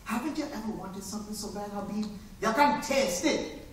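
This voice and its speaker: A man's voice: sits high, normal speed, slight background noise, lightly acted. A man on a live stage voicing a young would-be actor from the Bahamas with a West Indian accent, earnest and defiant. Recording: echoey theater, heard from far off.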